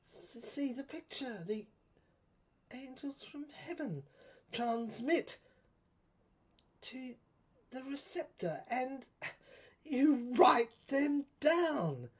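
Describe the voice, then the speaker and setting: Mystical spirit woman for radio play, performed by a female narrator: husky, slow, portentous, insistent, emphatic. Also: sits high, animated, full character voice. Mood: solemn, revelatory.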